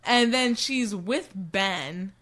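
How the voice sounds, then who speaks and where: A woman with a bright, forceful voice at a middle pitch. She co-hosts a book podcast in loose, casual banter, swearing freely and sounding exasperated as she picks things apart. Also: expressive, extremely slow. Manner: profane, exasperated. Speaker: podcast co-host.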